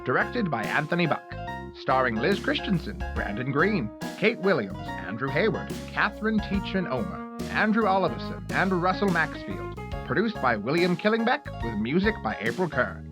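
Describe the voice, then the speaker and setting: A man's voice: a little high, big pitch swings, normal speed. A man announcing a radio play with scripted narration over music. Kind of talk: scripted radio drama introduction. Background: guitar music.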